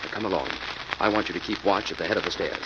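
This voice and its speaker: A man playing a calm, authoritative detective in 1940s radio mystery style, with a brisk, measured, commanding delivery. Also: low-pitched, close to an everyday voice. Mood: businesslike. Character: calm, authoritative male detective solving a murder. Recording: muffled, noisy vintage radio recording.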